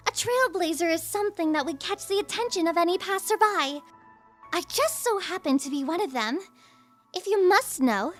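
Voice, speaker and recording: A woman playing a playful, taunting young female trickster in an ASMR audio roleplay, with a coy, mock-innocent way of drawing out her words. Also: extremely high pitch. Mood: sly, taunting. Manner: teasing, coy, mock-innocent, drawn-out phrasing. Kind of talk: ASMR audio roleplay, scripted character acting.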